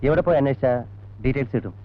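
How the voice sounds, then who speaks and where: A brisk, insistent police detective acted by a man questions people in a film drama. He talks fast and businesslike at a middle pitch, on a slightly dull old soundtrack. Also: animated, natural voice. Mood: inquiring. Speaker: actor in a film. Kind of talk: 1980s film drama acting.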